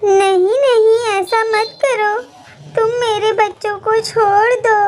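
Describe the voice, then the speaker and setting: Woman playing a high-voiced pleading mother bird. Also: steady tone, slow pace, darkish tone, a little hiss or hum. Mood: desperate pleading. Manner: pleading, earnest. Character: pleading, self-sacrificing mother bird, female. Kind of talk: animated moral story acting.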